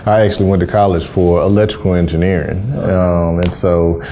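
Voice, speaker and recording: An American man in his thirties speaks in a very deep, mellow voice with little variation in pitch. He explains things in a measured, earnest way as an interview guest. The recording is somewhat dull with some background noise. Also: flat delivery. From Memphis, Tennessee, USA. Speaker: interview guest, city housing and community development director. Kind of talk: conversational interview answers.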